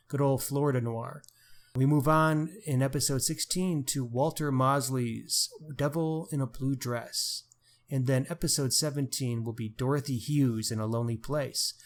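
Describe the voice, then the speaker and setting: A man co-hosting a podcast, talking casually about crime books. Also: medium pitch. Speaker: podcast co-host.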